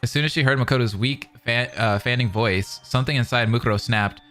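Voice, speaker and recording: A man reading narration dramatically and adding excited commentary as a reaction streamer. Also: medium pitch, fairly quick. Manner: animated, dramatic. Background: faint music.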